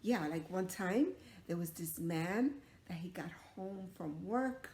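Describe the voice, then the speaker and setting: A woman's voice: on the low side, lively intonation, slow pace, dark tone. A woman telling a story to young children like a teacher, encouraging them with questions and acting out little sound effects. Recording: roomy echo, clear background noise.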